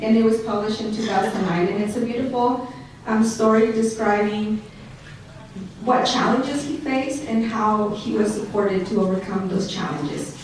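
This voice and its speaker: A woman's voice: fairly high pitch, a little hiss or hum. Mexican-accented woman speaking in an echoey hall. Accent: Mexican Spanish. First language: Spanish. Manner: reads prepared remarks with joking asides to the audience. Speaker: school district director introducing an award nominee. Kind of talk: speech at an awards banquet. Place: large banquet hall. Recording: echoey hall recorded from far off.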